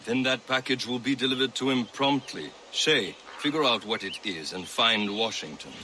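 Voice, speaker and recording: An actor playing an authoritative leader of a secret brotherhood, speaking deliberately in a video game drama. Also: male voice, mid-range voice, background noise, lightly acted. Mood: commanding. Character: commanding male leader of an assassin brotherhood.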